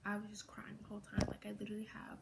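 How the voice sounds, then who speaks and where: Teen girl fan vlogger, soft half-whisper, gravelly fry, flat gushing delivery, noisy recording. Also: mid-range voice, very fast. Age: late teens. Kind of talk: casual fan vlog.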